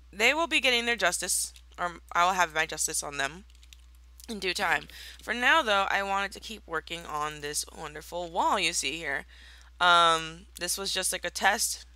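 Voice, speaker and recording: A young woman giving casual commentary over a block-building game, slightly raspy from just waking up, playful and mock-villainous in a theatrical way. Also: sits low, pushed and bright, no echo. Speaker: Minecraft let's player.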